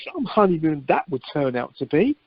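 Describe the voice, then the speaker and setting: A woman's voice: sits low, very animated, moderate pace, breathy edge, no echo, exaggerated voice. A woman playing a sensible, exasperated young husband in an amateur radio play over the telephone. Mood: incredulous, worried. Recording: muffled telephone conference line.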